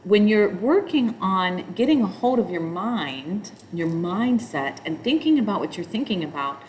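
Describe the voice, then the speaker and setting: A middle-aged woman with a nasal voice sits somewhere a little low in pitch, teaching a yoga class. She explains at a loose, meandering pace, trailing into asides. A faint hiss runs under the recording. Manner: chants mantras in a nasal sung tone between explanations. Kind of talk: spoken yoga instruction.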